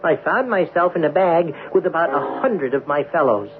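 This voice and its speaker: Male actor as a talking dime: musing radio-drama narrator, high-set animated delivery, tinny old broadcast sound. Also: moderate pace, background noise, natural voice. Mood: wondering, storytelling. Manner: conversational, musing, asks itself questions. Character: talking Mercury dime telling its life story, chatty. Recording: tinny band-limited 1970s radio, music.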